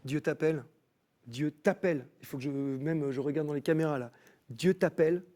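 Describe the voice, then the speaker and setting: A man's voice: a little high, moderate pace. A man, a musician guest in a studio, telling a personal faith testimony and acting out the voices he quotes. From France. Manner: animated storyteller, acts out quoted voices. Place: TV studio set.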